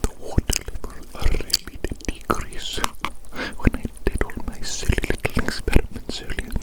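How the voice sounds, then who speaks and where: A woman's voice: extremely high pitch, exaggerated voice, rough recording. A woman playing a grandiose evil doctor who is fond of a creature, musing theatrically and repetitively in formal words in an ASMR roleplay. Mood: musing, curious.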